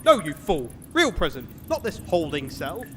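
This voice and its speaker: Casting: male actor as self-important, foolhardy knight, hugely animated pitch, grandiose indignation, noisy recording. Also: normal speed. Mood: indignant, alarmed. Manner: grandiose, indignant, mock-heroic. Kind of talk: theatrical comedy sketch acting.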